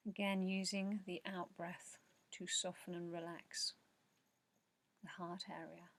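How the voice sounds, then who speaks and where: A woman leading a guided meditation in a soft, slow, soothing way, with measured pauses and breathy phrase endings. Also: fairly low pitch, flat delivery. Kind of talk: guided meditation narration, yoga nidra.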